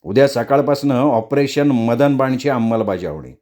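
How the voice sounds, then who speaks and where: A man's voice: mid-range voice, lively intonation, normal speed, dark tone, lightly acted. Man playing a greedy, scheming freeloader neighbour. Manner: wheedling, muttering asides.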